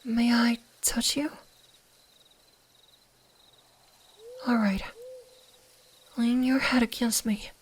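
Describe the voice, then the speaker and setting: A woman playing a gentle, curious kitsune fox spirit in an ASMR roleplay, speaking softly, soothingly and a little hesitantly. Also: a little high, fairly even intonation, slow pace, a little hiss or hum. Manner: soft-spoken, soothing, hesitant, at times whispering.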